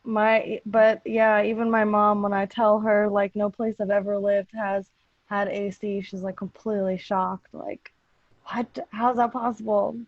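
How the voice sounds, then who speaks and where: A woman's voice: medium pitch, level tone, a little slow, no room sound, highs cut off. A young American woman chatting casually, with slangy, freely swearing talk. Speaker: podcast cast member. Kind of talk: casual chat.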